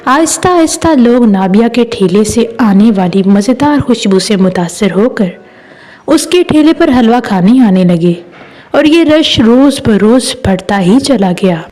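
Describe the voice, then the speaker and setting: A woman storyteller with a high, mellow voice. She reads a scripted story at a slow pace, with music behind her. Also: lively intonation, rough recording. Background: background music.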